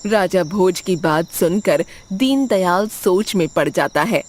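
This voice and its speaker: A woman's voice: lowish voice, a little slow, slight background noise. Woman narrating a cartoon story. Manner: clear, storybook telling. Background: background music.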